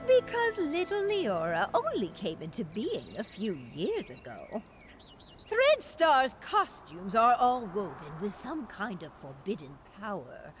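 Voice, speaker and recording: A woman voicing an elegant, sentimental former actress in video game story dialogue, with a dramatic, lilting storytelling manner. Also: mid-range voice. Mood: grave, explaining. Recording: background music under the voice.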